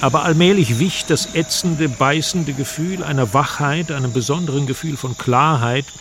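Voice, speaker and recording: A man's voice: fairly high pitch, normal speed, fairly bright tone, a little hiss or hum. A man reading scripted first-person travel narration for a radio drama.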